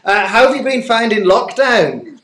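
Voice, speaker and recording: A man's voice: extremely high pitch, lively intonation, normal speed, a bit bright. A man in his early forties doing a casual interview, talking in a rambling, enthusiastic, informal way. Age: early 40s. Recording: echoey room.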